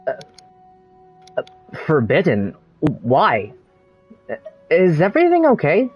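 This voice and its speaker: A person reads game character dialogue with gentle concern. The delivery is unhurried, hesitant and questioning, with animated swings in pitch. The recording sounds slightly dull and lo-fi. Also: breathy edge, faint music behind.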